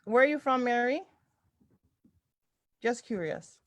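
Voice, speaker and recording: A woman's voice: a little high, lively intonation, slow, no echo. Woman, from Toronto, livestream host, casual group chat, repeated short affirmations, dry close sound. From Toronto, Canada. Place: indoors, on a group video call.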